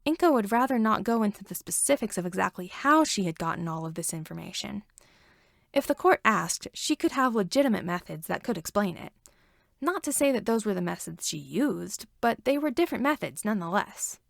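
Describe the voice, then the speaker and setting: A woman's voice: mid-range voice, big pitch swings, a little fast, creaky, no echo, studio quality. A woman reading a story aloud as scripted narration. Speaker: fan fiction reader.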